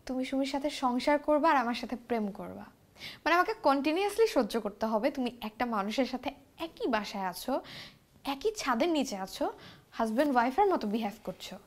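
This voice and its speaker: Casting: young mistress in a TV drama, high voice, insistent, code-switches into English. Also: very animated, fast talker. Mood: hurt, demanding. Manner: switches between Bengali and English. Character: insistent young woman in love with a married man. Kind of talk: naturalistic TV drama acting.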